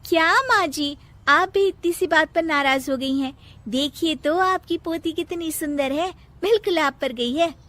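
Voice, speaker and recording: A woman's voice: sits high, measured pace, a bit bright, pitched above the performer's own voice. A woman voicing a dutiful, earnest young daughter-in-law in a cartoon moral story. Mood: coaxing. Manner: earnest, respectful pleading.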